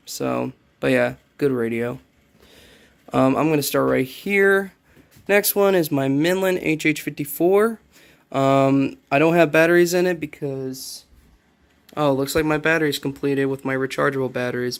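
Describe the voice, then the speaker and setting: A man with a mid-pitched voice talks casually on a handheld phone, as if showing off a personal collection. He rambles along without a script, halting and circling back to repeat himself. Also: gravelly. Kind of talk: casual unscripted show-and-tell.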